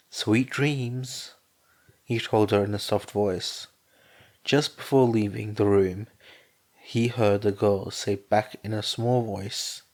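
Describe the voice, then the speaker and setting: Hoarse man reading slowly. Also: on the low side. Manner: plain, steady reading with a strained, sore voice. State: hoarse, losing his voice. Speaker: podfic reader. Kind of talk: fan fiction audiobook narration.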